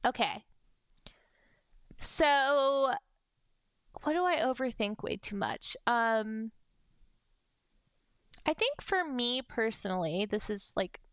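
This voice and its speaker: A young adult woman with a high-pitched voice, chatting casually on a livestream and reading fan messages, bubbly and giggly. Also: fairly bright tone, smooth, no echo, studio quality. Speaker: VTuber streamer.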